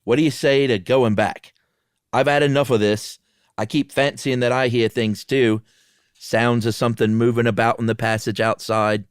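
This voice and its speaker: A man's voice: medium pitch, level tone, normal speed, clean tone, no room sound, clean studio sound, natural voice. Man acting a nervous man in a haunted house. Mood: anxious.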